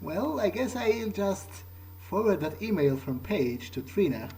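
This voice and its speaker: A man thinking aloud in character during a game playthrough, musing and halting as if talking to himself. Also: sits high, big pitch swings, vocal fry, warm tone. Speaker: gameplay video player.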